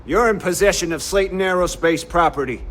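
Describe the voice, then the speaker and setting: An actor playing a tough, threatening corporate enforcer in a video game, terse and commanding, with a rough husky voice. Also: male voice, high voice, fairly quick, fairly bright tone, a little hiss or hum. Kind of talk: video game dialogue acting.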